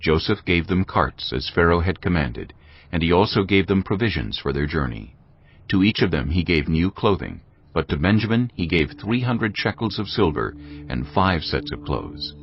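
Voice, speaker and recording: A man's voice: low-pitched, animated, moderate pace. A man narrating scripture as a dramatic scripted reading. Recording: dull audio with clear music bed.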